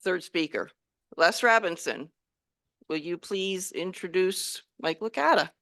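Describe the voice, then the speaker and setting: Woman host, chatty meeting chair, lower voice, dry sound. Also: measured pace, no echo. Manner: chatty, warm welcomes with light joking. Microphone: room microphone.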